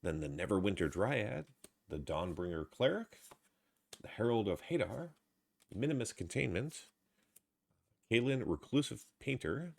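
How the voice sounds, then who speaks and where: Stuffy, low-voiced man listing trading cards. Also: big pitch swings, unhurried, gravelly, pauses often. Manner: low-energy, listing card names with frequent uh fillers. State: stuffy from a cold. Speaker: card box opener. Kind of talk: casual card-opening commentary.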